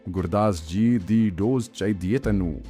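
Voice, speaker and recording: A man's voice: fairly low pitch, takes it slowly, mellow. A man putting on a snarling Punjabi police thug. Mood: menacing. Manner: teeth-gritted, ferocious bullying. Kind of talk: audiobook villain acting. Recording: faint music bed under voice.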